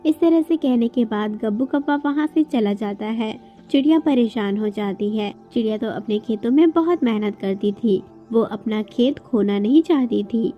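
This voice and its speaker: A woman's voice: a little high, moderate pace, few pauses, muffled recording, soft background music. A woman giving scripted narration for a children's cartoon story.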